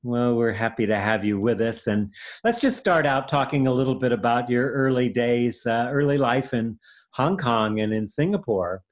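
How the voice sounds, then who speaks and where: A man hosting an interview program, introducing his guest in a measured, earnest way. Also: mid-range voice, lively intonation. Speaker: host. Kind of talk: radio interview.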